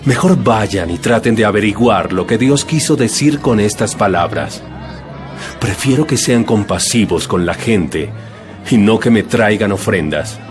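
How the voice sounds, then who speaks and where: Man acting calm authoritative male teacher, solemn, expressive intonation, audio Bible drama, music behind. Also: lowish voice.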